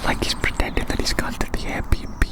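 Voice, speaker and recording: An ASMR performer whispers throughout with no real voice, just soft breath. The delivery is gently reassuring and musing, with phrases repeated softly as part of a soothing roleplay. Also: moderate pace.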